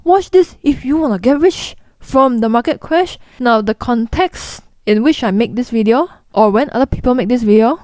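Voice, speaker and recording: A woman's voice: on the high side, lively intonation, moderate pace, few pauses, a little mellow, no room sound. Blunt Singaporean woman vlogger, dry sound. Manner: blunt, chatty, mimics people in quotes. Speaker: investing vlogger. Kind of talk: direct-to-camera explainer.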